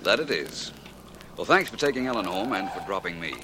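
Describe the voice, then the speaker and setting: Composed private detective, deep voice, dry, courteous, vintage radio drama, heavy noise. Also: male voice, lively intonation, fairly quick. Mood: relaxed, friendly. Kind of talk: 1940s radio drama acting. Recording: very noisy 1940s radio recording.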